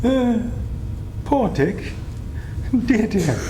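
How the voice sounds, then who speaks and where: A woman's voice: sing-song, very slow, warm tone, full character voice. A woman playing an elderly male miser full of emotion at his past, in a dramatic stage reading. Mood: wistful. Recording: roomy hall recording, faint noise, rumble.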